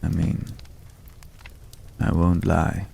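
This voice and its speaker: A man playing a courteous, world-weary former vampire hunter in an intimate ASMR roleplay, speaking in a measured, hushed way with polite, hesitant pauses. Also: low-pitched, little pitch movement, gravelly, slightly rough, full character voice. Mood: intrigued, wistful.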